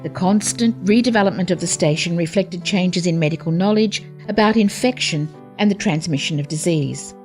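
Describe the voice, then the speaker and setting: A woman narrates history in a steady, informative, scripted way. Her voice sits fairly low, and faint music plays underneath her. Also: normal speed, hardly any pauses.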